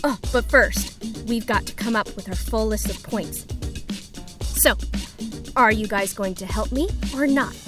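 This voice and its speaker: A woman's voice: a little high, measured pace, long pauses, music in the background, natural voice. A woman playing an exasperated, determined schoolgirl with an emphatic, sarcastic manner in an audio drama. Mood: determined, scheming. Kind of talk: scripted children's audio drama.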